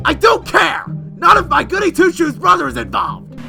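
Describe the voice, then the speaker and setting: A woman voicing a childish, hot-tempered male fire ghost villain with a high, scratchy, whiny voice in a fan story reading. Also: sing-song, moderate pace, gravelly, music in the background, exaggerated voice. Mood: petulant anger. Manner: whiny, shouty outbursts.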